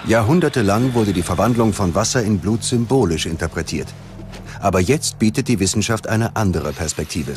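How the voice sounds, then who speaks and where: A man narrates a documentary in a fairly low voice. His delivery is scripted, measured and dramatic. Clear background music plays beneath him. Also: expressive.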